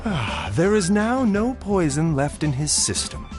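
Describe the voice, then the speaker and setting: An actor playing a calm, courteous physician in a video game, measured, precise and reassuring. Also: male voice, on the high side. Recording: background music under the voice.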